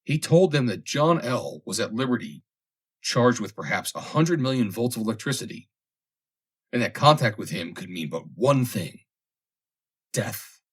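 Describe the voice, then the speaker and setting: A man reads a comic vintage story aloud as scripted narration, in a measured, dramatic storytelling manner with deliberate pauses. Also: mid-range voice, sing-song, slightly rough. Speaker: audiobook reader.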